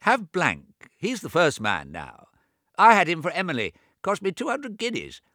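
A man's voice: sits high, huge pitch swings, moderate pace, sharp tone, no echo, clean studio sound, voice pushed up, full character voice. A man reading aloud voices a deaf, fretful old man in his late seventies who nags and repeats himself about money. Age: over 70. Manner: fretful, repetitive, nagging.

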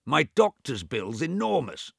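A man's voice: medium pitch, very animated, a little slow, vocal fry, husky, no room sound, clean studio sound, pitched above the performer's own voice, exaggerated voice. A man reading aloud voices an ailing, boastful old man in his late seventies who complains petulantly. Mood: grumbling. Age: over 70. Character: querulous sick old man, bedridden and boastful.

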